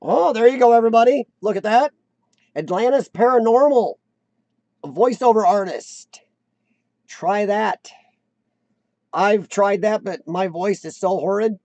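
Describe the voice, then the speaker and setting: A man's voice: sits high, unhurried, long pauses, darkish tone, no room sound, lo-fi sound. A man hosting a casual live-stream chat, rambling and self-deprecating, often restarting his sentences. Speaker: host of a live chat show.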